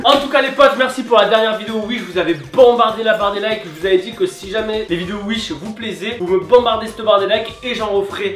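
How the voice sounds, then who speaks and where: A young man in his twenties, stuffy with a cold, hosting an energetic unboxing vlog from a small home kitchen. He jokes and speaks straight to his viewers, picked up by a camera mic a few steps away. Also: high-pitched. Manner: hyped, joking, talks straight to viewers. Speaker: YouTube host. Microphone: camera mic, standing a few steps back. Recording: echoey room with background music.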